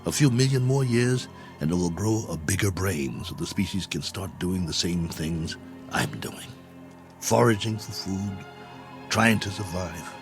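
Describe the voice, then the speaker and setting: A man's voice: low-pitched, lively intonation, a little slow. An actor playing a lonely, stranded astronaut colonel in an old television drama, brooding and wry as he muses to himself. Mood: weary musing. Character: stranded astronaut colonel, weary, lonely, anxious but dogged. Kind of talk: 1960s television drama acting, monologue log entries. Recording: old television soundtrack with music underneath.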